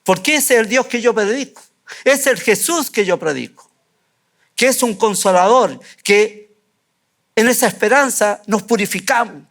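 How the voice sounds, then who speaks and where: A man preaching a fervent sermon as a church pastor, repeating phrases with emphatic pauses. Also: sits high, a bit bright. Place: church sanctuary.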